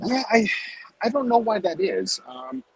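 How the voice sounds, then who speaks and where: A man's voice: high-pitched, big pitch swings, a little slow, husky, poor audio. A man from the United States, a chef, chatting easygoingly in an interview over a remote call, with lots of fillers. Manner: easygoing, self-deprecating, many fillers. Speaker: chef and guest. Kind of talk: casual interview chat. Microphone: remote call on the move.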